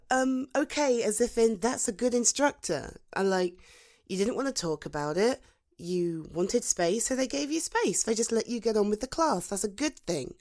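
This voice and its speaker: A British woman playing a protective, supportive female friend in a conversational ASMR roleplay, soothing and encouraging, with thoughtful pauses. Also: medium pitch, a little mellow, natural voice. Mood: concerned, reassuring. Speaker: voice actor in an audio roleplay. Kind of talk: ASMR roleplay, conversational one-sided dialogue.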